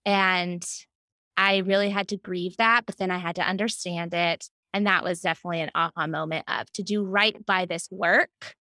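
A woman with an American accent speaking as a podcast guest in an animated, conversational interview style. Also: fairly low pitch, moderate pace, no room sound. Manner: animated, quotes self and others in anecdotes. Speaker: podcast guest, children's book editor.

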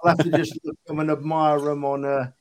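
Higher-voiced man in his sixties. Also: little pitch movement, quick pace. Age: 60s. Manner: earnest, emphatic, matey. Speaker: football fan podcast panelist. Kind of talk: casual chat.

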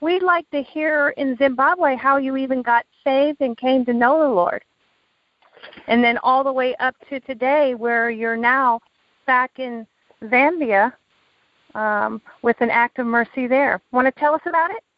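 High-voiced woman hosting a radio show. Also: measured pace, no echo. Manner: friendly, with hesitant fillers. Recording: dull, dry audio.

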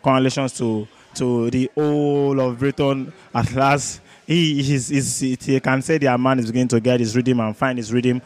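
Guy on a sports podcast, average pitch, casual chat, kinda halting, says stuff again for emphasis. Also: steady tone, darkish tone. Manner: halting delivery, repeats words and phrases emphatically.

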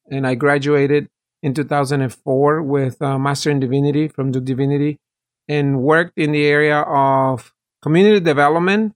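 Middle-aged man, pastor from El Salvador, podcast guest; earnest, detailed, slightly raised pitch. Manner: earnest, detailed explaining with restarts. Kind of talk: interview guest.

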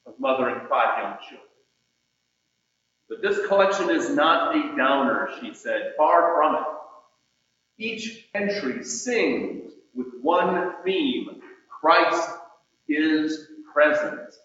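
Man, preacher, sermon delivery, unhurried measured phrasing, emphatic pauses, distant and slightly muffled recording. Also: fairly high pitch, a bit bright. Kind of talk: sermon with read-out quotations. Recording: echoey room, distant muffled mic.

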